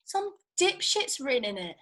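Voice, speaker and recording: Woman acting arch, animated young Regency fan. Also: high-pitched, moderate pace, exaggerated voice. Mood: blunt, unimpressed. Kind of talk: script table read.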